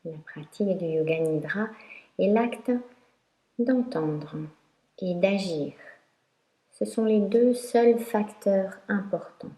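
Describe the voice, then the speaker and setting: A woman teaching yoga nidra, guiding a meditation in a calm, instructional way with long pauses. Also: mid-range voice. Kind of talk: guided meditation narration. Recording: roomy echo.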